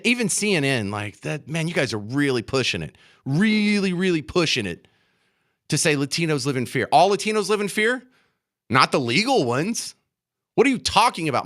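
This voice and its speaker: A man's voice: a little high, bright, forceful tone. A man with an American accent delivering an opinionated political radio monologue, emphatic and indignant, repeating phrases to drive them home. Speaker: radio talk show host. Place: radio studio.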